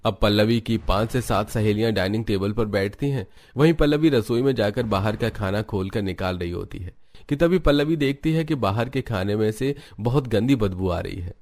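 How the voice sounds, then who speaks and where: Low-voiced man narrating a story. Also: lively intonation, moderate pace, darkish tone.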